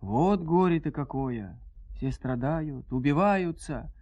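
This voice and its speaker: A man narrating a scripted fairy tale in an expressive, dramatic, folksy storytelling manner. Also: on the high side, slow pace, dry recording, muffled recording.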